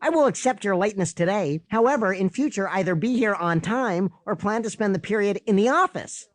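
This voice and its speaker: A man's voice: high voice, animated, fairly quick, no echo, voice pushed up. A man voicing a stern, formal male math teacher in an audiobook, with pompous, clipped authority.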